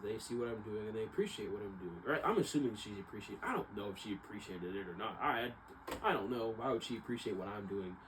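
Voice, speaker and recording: A man's voice: lowish voice, expressive, fast talker. A man talking casually and unscripted, in a rambling, self-doubting way that circles back and repeats. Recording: clearly noisy ambient background.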